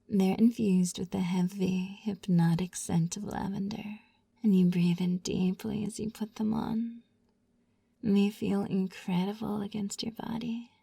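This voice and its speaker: A woman whispering a slow, soothing guided sleep hypnosis roleplay in a repetitive, lulling manner, close to the mic. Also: fairly low pitch, creaky, mellow, husky, no echo. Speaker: hypnosis ASMR narrator.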